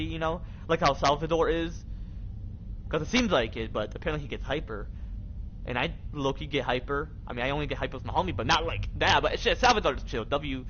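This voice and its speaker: Rambling young American man, higher-pitched, muffled mic. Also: fairly bright tone. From Michigan, United States. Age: young adult. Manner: rambling, repetitive, heavy slang. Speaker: live streamer. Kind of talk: casual game livestream chat. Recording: dull lo-fi mic with faint noise.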